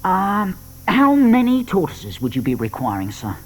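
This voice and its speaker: A man's voice: high-pitched, wildly swooping pitch, measured pace, pitched above the performer's own voice, exaggerated voice. A man voicing a polite, deferential and slightly hesitant pet shop assistant in a children's audio story. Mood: polite, obliging.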